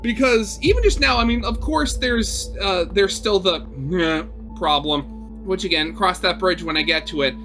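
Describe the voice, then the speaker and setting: A man's voice: high voice, normal speed, fairly bright tone, faint music behind. A man reading a visual novel aloud as a gaming video host, with casual, rambling commentary.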